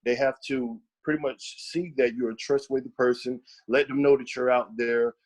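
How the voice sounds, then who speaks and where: A man's voice: medium pitch, fairly even intonation, normal speed. Guy running a team training call, chatty, lots of ums. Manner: conversational, lots of fillers. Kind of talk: casual group training talk.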